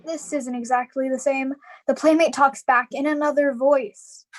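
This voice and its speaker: A woman playing a worried, gentle wife and mother in a live radio drama, careful and pleading. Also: sits high, steady tone, normal speed, lightly acted. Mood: uneasy. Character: worried, gentle mother and wife, anxious about her daughter.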